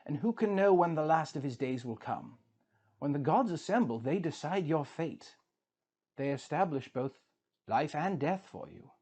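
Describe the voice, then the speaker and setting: A man's voice: on the high side, lively intonation, normal speed, darkish tone, husky. A man voicing a solemn ancient immortal sage who admonishes in a grave, oratorical reading of an epic. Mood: philosophical, grave.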